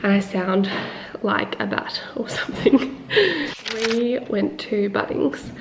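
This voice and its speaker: A woman's voice: medium pitch, steady tone, slow pace, creaky, background noise. A young Australian woman with a snuffly, blocked nose, chatting casually and laughing while filming herself at arm's length in a bathroom under renovation. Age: young adult. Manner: chatty, laughing, filler-heavy. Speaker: vlogger. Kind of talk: casual vlog chat. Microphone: handheld camera at arm's length.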